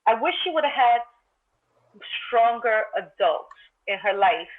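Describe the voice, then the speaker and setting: A woman's voice: on the high side, expressive, a little slow. A woman from Brooklyn, a podcast guest, talking through her thoughts in a reflective, searching way over a remote call. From Brooklyn, New York, United States. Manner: thoughtful, reflective, talks through ideas with many restarts. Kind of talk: casual podcast conversation. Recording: tinny phone-like remote call line.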